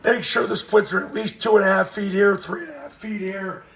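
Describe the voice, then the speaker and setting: A man with a raspy voice, hoarse from a cold, giving blunt, emphatic football coaching tips. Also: high-pitched, a little fast, roomy sound.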